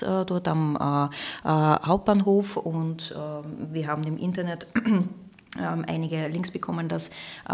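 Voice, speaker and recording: Low-voiced woman, halting Russian accent. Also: creaky. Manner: halting, many filler sounds. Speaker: podcast co-host, translation student. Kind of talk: hesitant podcast talk.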